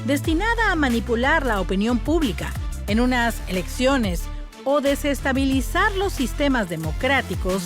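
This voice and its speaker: A woman's voice: high voice, sing-song, normal speed. A woman narrating a scripted explainer video as a voice-over. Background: music.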